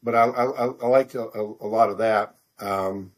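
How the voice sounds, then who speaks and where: A man's voice: fairly low pitch, fairly even intonation, moderate pace. Middle aged american guy being interviewed, casual, kinda hesitant and self-deprecating, stumbles over his words. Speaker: author interview guest.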